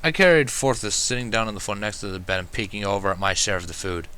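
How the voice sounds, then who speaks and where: A man's voice: lowish voice, fast, pushed and bright, slight background noise. A man narrating a first-person fan fiction story in a wry, dramatic manner.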